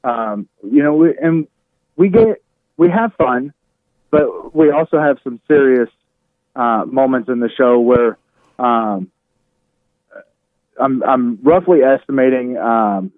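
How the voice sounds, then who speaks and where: A man speaks over a narrow, phone-like connection with no room echo. His voice is medium in pitch, and he talks casually as a radio host being interviewed. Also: animated, slow pace, long pauses. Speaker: radio show host appearing as a podcast guest. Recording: thin, narrow phone-like line.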